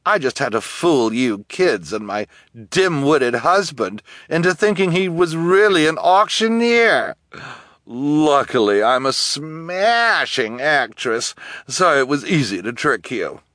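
A man's voice: fairly high pitch, very animated, fairly bright tone, no room sound, studio quality, pitched above the performer's own voice, full character voice. A man voicing a cruel, vain woman guardian in a gloating, mocking storybook villain manner. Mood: smug, scornful. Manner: drawn-out, mocking, theatrical.

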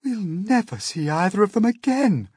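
A man plays a fretful female ladybird in a storybook, lifting the voice high. She laments tearfully, with a husky edge and a dry, close sound. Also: sing-song, normal speed, a little mellow, no echo, full character voice. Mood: despairing.

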